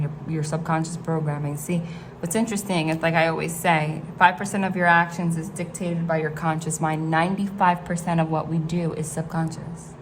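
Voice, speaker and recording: A woman with a northeastern American accent, from the New Jersey and New York City area, talks through a tarot reading in a loose, rambling way, full of "like" and restarts. Her voice sits a little low for a woman. A faint hiss of room noise stays under her the whole time. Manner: chatty, rambling, frequent "like" and restarts. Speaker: tarot reader. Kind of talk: casual tarot reading to camera. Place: hot room at home.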